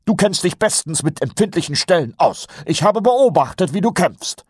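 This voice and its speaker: A man voicing a weary, reluctant older watch sergeant in an audiobook, husky and grudging, with some bluster. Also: high-pitched, expressive, moderate pace, no room sound, studio quality, voice pushed up. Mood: grimly commanding. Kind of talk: audiobook character voice.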